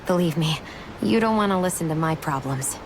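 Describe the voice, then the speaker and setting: A woman's voice: low-pitched, lively intonation, a little fast. An actress playing a guarded, troubled young woman in a video game cutscene. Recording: music behind the voice.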